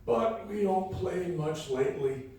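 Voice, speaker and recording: Casting: eccentric, grumbly old male comedian acted by a man for live radio comedy; mellow tone, hammy delivery, heard distant from the mic. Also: high voice, expressive, measured pace, very echoey. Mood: mischievous, deadpan. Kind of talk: live comic radio play acting. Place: live fundraiser event room before an audience.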